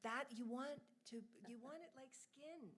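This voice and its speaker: A woman's voice: high voice, measured pace, over a noisy crowd. A woman who is an abstract painter, speaking earnestly and reflectively about her work at a gallery talk. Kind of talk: public artist talk answering questions. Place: gallery event space.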